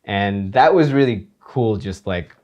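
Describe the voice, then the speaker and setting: A laid-back man who grew up in Iceland talks through his creative process in a casual interview. His voice sits on the low side, and he rambles loosely with fillers and easy laughter. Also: unhurried. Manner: relaxed, rambling, laughs often, many fillers. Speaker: guitarist and composer guest.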